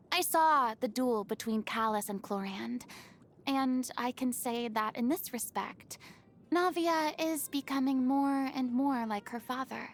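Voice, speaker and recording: A woman's voice: high voice, slight background noise. A woman voicing a proud, vain yet vulnerable young former stage celebrity in a video game, with a theatrical manner and flustered hesitations. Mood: reflective. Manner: theatrical, boastful, prone to sighs and flustered hesitation. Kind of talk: video game character monologue.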